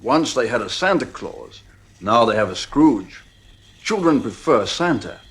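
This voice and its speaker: An actor playing a blunt, uneasy psychiatrist and family friend in a 1950s radio drama, measured and authoritative. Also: male voice, medium pitch, very animated, fairly bright tone. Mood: blunt, admonishing.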